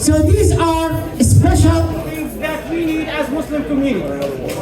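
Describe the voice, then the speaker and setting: Man, imam, high-pitched voice, slow halting delivery, repeats himself, echoey hall, distant mic, crowd murmuring behind. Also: animated. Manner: halting, repeats phrases while searching for words. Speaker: imam of a prayer center. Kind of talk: community address to election candidates. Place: large indoor hall at a public event.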